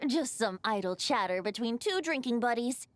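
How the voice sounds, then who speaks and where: A woman's voice: fairly high pitch, animated, moderate pace, no room sound, natural voice. A woman voicing a youthful male bard spirit in an anime video game, breezy, playful, eloquent and theatrical. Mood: breezy, musing.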